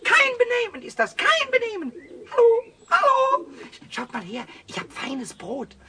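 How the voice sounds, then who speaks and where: Super high squeaky little kobold, cheeky n stubborn, voice jumps all over, 70s kids radio play. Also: male voice, huge pitch swings, unhurried, background noise, full character voice. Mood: excited, indignant. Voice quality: squeaky, piercing. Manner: stammering word repetitions, singsong rhyming. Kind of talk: 1970s children's radio play acting.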